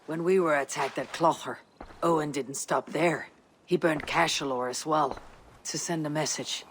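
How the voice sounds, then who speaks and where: Steady, seasoned Viking raider. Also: male voice, on the high side, measured pace, slight background noise. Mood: resolute. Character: seasoned male Viking raider, steady, pragmatic war counsellor. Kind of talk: video game cutscene acting.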